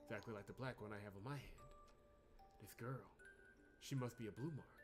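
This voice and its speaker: A man's voice: fairly low pitch, fairly quick, natural voice. Man reading a bewildered, skeptical young game hero. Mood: puzzled, musing. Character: bewildered, skeptical young man, visual novel protagonist Leroy. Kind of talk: video game script read aloud. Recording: music behind the voice.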